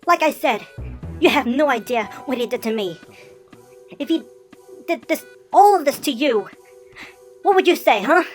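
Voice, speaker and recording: A woman voicing a traumatized young male Dalmatian pup in an emotional cartoon fan drama, halting and anguished. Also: high-pitched, animated, faint music behind. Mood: bitter, hurt. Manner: anguished, halting, swinging between hushed and loud.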